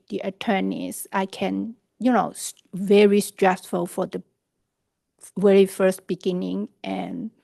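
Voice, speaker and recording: Halting gravelly woman, Cantonese native. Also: mid-range voice, no room sound. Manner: halting, searching for words. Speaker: witness. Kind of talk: court testimony. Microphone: video call.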